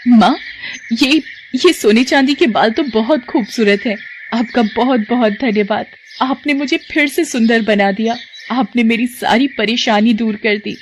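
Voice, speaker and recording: A woman voicing a humble, grateful young girl in a fairy tale, earnest and gentle. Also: a little high, measured pace, slight background noise, natural voice. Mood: delighted, grateful.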